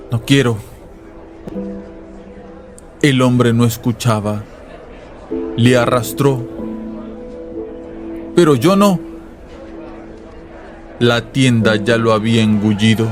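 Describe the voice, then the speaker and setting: A man narrating a scripted, dramatized story in a literary radio-drama style. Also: mid-range voice. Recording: voice over music and crowd noise. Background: music and crowd sounds.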